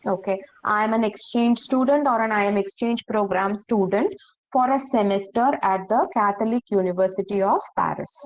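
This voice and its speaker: A woman teaching a French class, slowly repeating phrases and checking that students follow. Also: mid-range voice, poor audio. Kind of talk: online language class.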